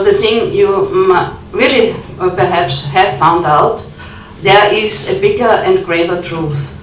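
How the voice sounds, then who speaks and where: A woman in the audience giving a long earnest comment, halting with many ums. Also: mid-range voice. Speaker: audience member. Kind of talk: conference Q&A comment. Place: conference hall. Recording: echoey hall, distant mic, faint noise.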